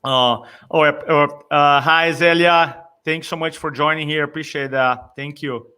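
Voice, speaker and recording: A man in his fifties from Brazil with a Brazilian Portuguese accent, a communication coach telling stories in a lively way and acting out his own reactions. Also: on the high side, unhurried, clean tone. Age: 50s. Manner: animated storyteller, acts out his own reactions. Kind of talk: casual interview chat.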